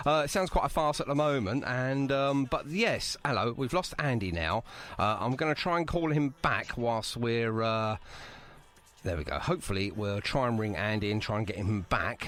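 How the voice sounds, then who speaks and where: A man's voice: mid-range voice, animated, normal speed, fairly bright tone. A British man hosting a casual, chatty band interview with a jokey, bantering manner. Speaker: radio podcast host.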